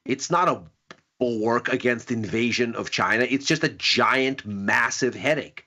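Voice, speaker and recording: Bright man explaining politics on a panel. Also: mid-range voice, unhurried. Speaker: panel guest. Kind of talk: casual panel discussion.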